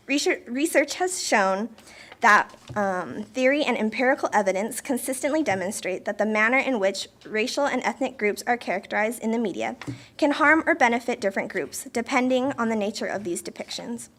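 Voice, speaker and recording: A woman's voice: on the high side, expressive, fairly quick, a bit bright. A young woman, a student presenter, reading a prepared talk in a careful, formal way. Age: young adult.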